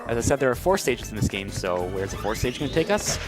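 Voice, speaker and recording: A man with a mid-pitched voice commentates a speedrun casually, with understated, wry humor. Loud game music plays behind him throughout. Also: very animated, fast talker. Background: loud game music and sound effects.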